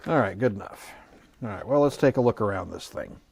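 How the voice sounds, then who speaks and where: A man's voice: fairly low pitch, lively intonation, fairly quick, creaky, a little mellow, husky. A man giving a casual, unscripted product review, thinking aloud in a musing, hesitant and mildly skeptical way. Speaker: host of an electronics review channel.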